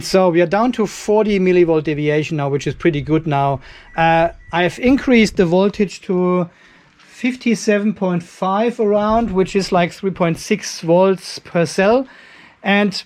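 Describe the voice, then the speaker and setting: A man's voice: sits high, unhurried, a little mellow. Man in his fifties, garage workshop demo. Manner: explains step by step while working, thinking aloud. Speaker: channel host. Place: cluttered garage workshop with a metal roof. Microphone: handheld camera held close.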